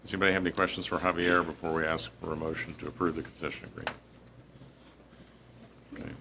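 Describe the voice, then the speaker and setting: A man serving as mayor, chairing a city council meeting with brisk, formal procedural phrasing. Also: sits low, level tone, background noise. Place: city commission chamber.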